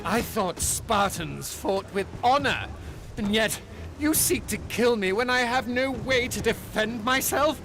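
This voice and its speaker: A manic, arrogant game villain god. The voice is high and wildly swooping, theatrical and full of mockery. Clear music plays behind it. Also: male voice, lively intonation, a little slow, full character voice. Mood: feigned indignation. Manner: theatrical, taunting, sing-song. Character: mocking, manic, swift arrogant male god taunting a warrior. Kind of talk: video game villain acting.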